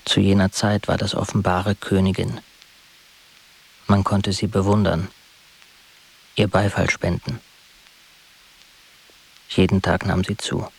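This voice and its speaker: An actor playing a sombre, defiant poet-witness in a literary radio drama, speaking gravely in declarations. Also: male voice, sits low, little pitch movement, takes it slowly, pauses often, heavily acted, poor audio. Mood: grave, declarative.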